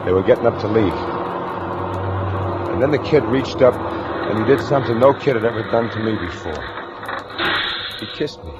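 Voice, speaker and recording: A man's voice: on the low side, lively intonation, normal speed, pauses often, very noisy, lightly acted. An actor playing a tense, lovestruck gunman who tells his own story in a hesitant, emotional 1940s radio style. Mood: brooding. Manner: hesitant, stammering, emotional delivery. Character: smitten male gunman, tense and haunted, narrating his crime. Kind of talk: 1940s radio acting, first-person narration and dialogue. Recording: muffled vintage radio recording with music.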